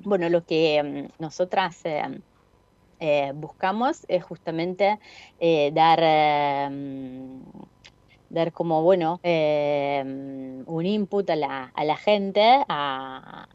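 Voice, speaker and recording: Low-voiced woman with an Italian accent. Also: slow pace, a little mellow, clean tone, dry recording, muffled recording. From Italy. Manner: hesitant, many fillers and restarts. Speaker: filmmaker guest. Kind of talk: casual interview.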